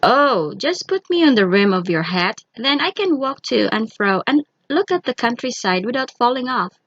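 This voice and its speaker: A cheeky thumb-sized boy acted out by a woman speaks up high and eagerly, full of confidence. The delivery swings in pitch like a storybook hero, on a close, dry recording. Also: big pitch swings, normal speed, fairly bright tone, no echo, lo-fi sound, exaggerated voice. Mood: coaxing. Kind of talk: children's storybook read-aloud.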